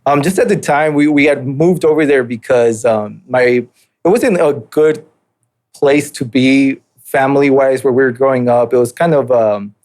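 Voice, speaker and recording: A man's voice: medium pitch, normal speed, a little mellow. Laid-back young man, hesitant storytelling. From Mexico, raised in southern California and Utah. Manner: hesitant, many fillers and restarts, laid-back. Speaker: podcast guest. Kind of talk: casual interview storytelling.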